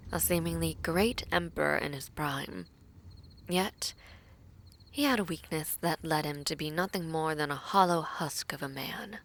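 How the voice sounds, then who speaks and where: A woman playing a composed, caring young princess in an audio drama, soft and earnest, often slipping into a breathy hush. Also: low-pitched, heavily acted. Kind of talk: audio roleplay drama acting.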